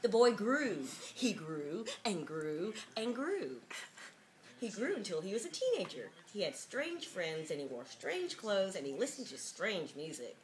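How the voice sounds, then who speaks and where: A woman's voice: mid-range voice, sing-song, unhurried. A woman giving a mock-dramatic reading of a children's picture book, with jokey, sardonic, giggly asides, on an amateur home video.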